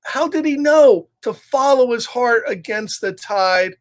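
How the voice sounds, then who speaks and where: A man's voice: very high voice, animated, a little slow, pitched above the performer's own voice. A man voicing an anxious young boy chickapig who pleads with eager questions in a children's storybook. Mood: pleading, distressed. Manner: pleading, repeating questions insistently. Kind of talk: read-aloud children's storybook.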